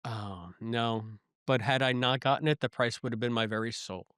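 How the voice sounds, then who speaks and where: A man's voice: medium pitch, normal speed, clear, resonant tone, no room sound, studio quality. A man co-hosting a podcast, chatting casually about pop culture in a playful, jokey way. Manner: playful, jokey, animated reactions. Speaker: podcast co-host.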